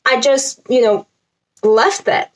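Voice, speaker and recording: Slow, higher-pitched Latvian-accented young woman. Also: fairly even intonation, low-quality recording. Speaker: spiritual self-help vlogger. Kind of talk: casual talk to camera, explaining a list.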